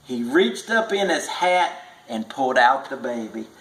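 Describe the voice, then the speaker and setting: A man reading a tall tale aloud as a storybook narrator, measured and with stretched emphasis. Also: medium pitch, lively intonation, fairly bright tone. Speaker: storybook reader. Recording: echoey room.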